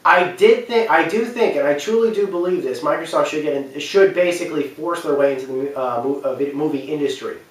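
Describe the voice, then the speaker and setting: A man giving an unscripted opinion talk as a video commentator, speaking emphatically in a halting, repetitive, rambling way. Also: fairly high pitch. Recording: very echoey room, distant microphone.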